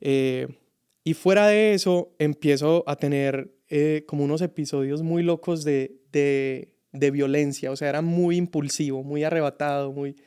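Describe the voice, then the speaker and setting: A man from Colombia, a youth pastor telling his story as a podcast guest, reflective and halting. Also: a little high, steady tone, a little mellow, clean tone. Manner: reflective, halting with many restarts. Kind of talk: casual podcast conversation.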